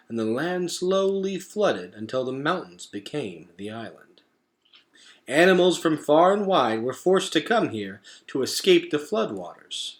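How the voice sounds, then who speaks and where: A man plays an ancient storybook turtle, wise and grave. He takes his time with every phrase, leaving weighty pauses as his pitch rises and sinks. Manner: slow, deliberate speech with weighty pauses.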